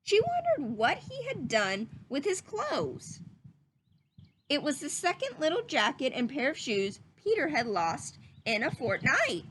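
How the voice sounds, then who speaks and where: A woman's voice: high-pitched, huge pitch swings, measured pace, fairly bright tone, a little hiss or hum, poor audio. A woman reading a children's story aloud in a teacherly, explanatory way, as if speaking to young listeners.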